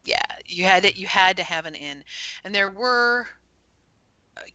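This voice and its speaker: A woman's voice: mid-range voice, unhurried, bright, forceful tone, lo-fi sound. A middle-aged woman chatting casually and conversationally on a live-streamed talk show.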